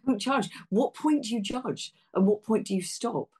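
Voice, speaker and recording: A woman's voice: fairly high pitch, normal speed, mellow, husky. A woman interview guest speaking reflectively and emphatically, often repeating phrases for weight. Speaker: interview guest, theatre practitioner. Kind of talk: conversational reflection.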